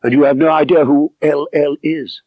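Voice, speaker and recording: A man voicing an inquisitive gentleman baronet in an audiobook, direct and questioning, puzzled yet eager. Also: fairly high pitch, steady tone, moderate pace, dry recording, highs cut off, voice pushed up, close to an everyday voice. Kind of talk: audiobook character dialogue.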